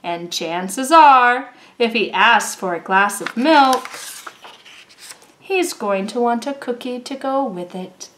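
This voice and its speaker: A woman with a fairly high voice reads a picture book aloud to young children. Her delivery is unhurried and very melodic, with deliberately stretched words. It is a home recording with some background noise. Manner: expressive, deliberate, with drawn-out words for young listeners. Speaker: storybook reader. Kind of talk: read-aloud children's story narration.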